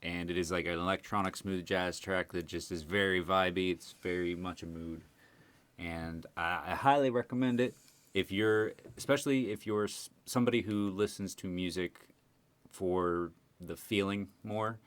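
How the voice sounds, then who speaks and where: A man's voice: low-pitched, level tone, normal speed, fairly bright tone, clean tone. A man co-hosting a podcast in casual group chat. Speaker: podcast co-host.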